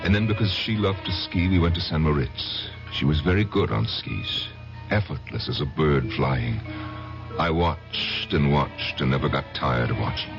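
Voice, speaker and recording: An actor playing an insecure, besotted husband who recounts his past in a halting, self-deprecating, dramatic old-time radio style. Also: a bass voice, vocal fry. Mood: wistful. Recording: dull lo-fi old radio broadcast, music behind.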